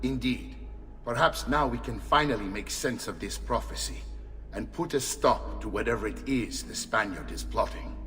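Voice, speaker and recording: An actor playing an earnest older uncle in a video game, speaking deliberately and with weight. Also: male voice, medium pitch, sharp tone, a little hiss or hum, natural voice. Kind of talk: dramatic video game cutscene acting. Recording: long echoey hall reverb.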